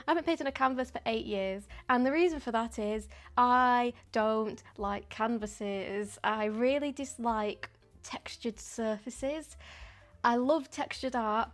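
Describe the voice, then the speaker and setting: A woman, chatting casually and a bit ramblingly while she paints on a live stream. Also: on the high side. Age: 20s. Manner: chatty and rambling, with frequent ums and soft laughs.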